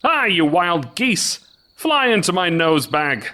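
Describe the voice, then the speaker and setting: Male reader as confident folktale soldier, cocky and declarative, lively intonation. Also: high-pitched, slow, pitched above the performer's own voice, exaggerated voice. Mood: commanding. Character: bold, cocky discharged Russian soldier.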